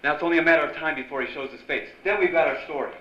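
An actor playing an earnest, dogged newspaper reporter in an old-time radio drama. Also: male voice, on the high side, racing, close to an everyday voice. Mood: determined. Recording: dull, noisy live stage recording.

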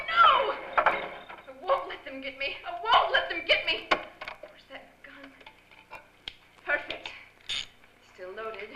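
A woman playing a vain, vengeful fallen actress, a scheming villainess in an old-time radio drama, theatrical and biting. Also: high-pitched. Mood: desperate, unhinged. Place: stage before a live audience. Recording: echoey live stage, faint noise.

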